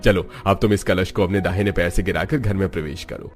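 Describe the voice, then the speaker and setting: A man's voice: deep voice, fairly even intonation, fairly quick, faint music behind, close to an everyday voice. A man voicing a kindly, traditional older father-in-law welcoming his family in a cartoon.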